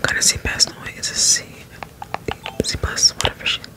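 A woman whispering soft, rambling ASMR commentary over gameplay in an unhurried way. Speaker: let's play streamer.

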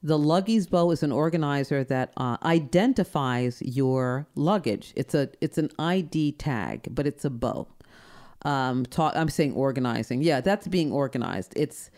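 A woman's voice: low voice, darkish tone. A woman hosting a podcast in a studio, chatting in an effusive, upbeat way. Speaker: podcast host. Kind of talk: casual chat.

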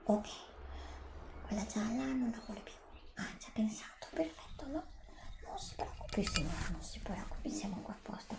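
A woman's voice: fairly high pitch, lively intonation, moderate pace, vocal fry, reverberant. A middle-aged woman whispers an ASMR roleplay as a polite, reassuring shop assistant serving a customer. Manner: polite, attentive, reassuring, formally addressing a customer.

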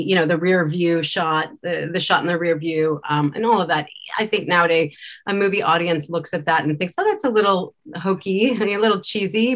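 Female, middle-aged, slightly low voice, relaxed panel-guest conversation over a video call. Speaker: film director, interview guest. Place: indoor room, on a video call.

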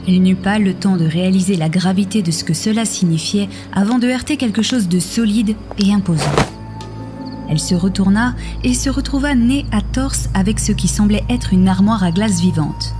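Woman narrating drama over music. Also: mid-range voice. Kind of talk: scripted dramatic story narration. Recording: music behind the voice.

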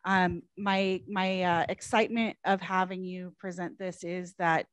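A woman speaks into a handheld mic in a meeting room, appreciative and a little halting. Her voice is low for a woman. The sound is dry. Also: little pitch movement, clean tone, no echo. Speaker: consortium staff member. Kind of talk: thank-you remarks.

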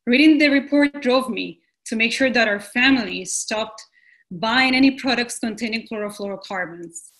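Woman giving a talk. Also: fairly high pitch. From Puerto Rico. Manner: earnest and measured, reading a script. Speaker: pediatrician presenter. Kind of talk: prepared presentation. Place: home room with bookshelves. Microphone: video call.

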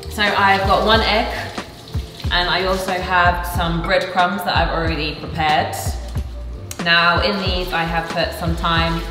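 A woman's voice: on the low side, level tone, a little slow. A young woman casually walking through a recipe in a large kitchen, chatty and playful, recorded from a distance. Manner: chatty, playful, laughs often. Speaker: co-host of a cooking video. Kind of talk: casual cooking demonstration. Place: large indoor kitchen studio. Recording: echoey room with music behind.

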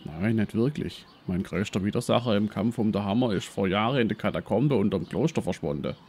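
Self-important professor played by a man, low-pitched, Swabian accent, brash and shady, for a comic detective audio drama. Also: brisk, darkish tone, a little hiss or hum, lightly acted. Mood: explaining. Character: brash, shady male history professor and treasure hunter. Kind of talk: parody detective radio play.

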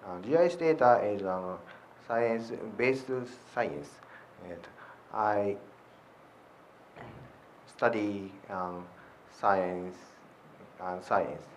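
A man introducing himself in halting English, searching for words, in a large lecture hall. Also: on the low side, slight background noise. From Japan. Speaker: audience member introducing himself.

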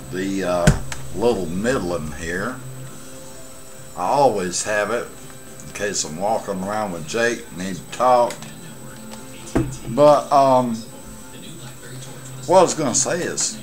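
A man in his late fifties chatting casually and haltingly to a webcam at home, with rambling asides. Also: on the low side. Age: late 50s. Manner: halting, pausing delivery with asides. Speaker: amateur vlogger and CB radio hobbyist. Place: small cluttered room at home. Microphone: webcam setup at desk distance.

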